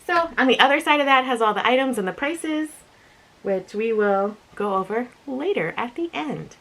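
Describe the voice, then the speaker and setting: A woman with a slightly raspy voice, chatting casually and thinking aloud as she presents an unboxing video with giggly asides. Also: a little high, lively intonation, normal speed, fairly bright tone, low-quality recording. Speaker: unboxing and review vlogger.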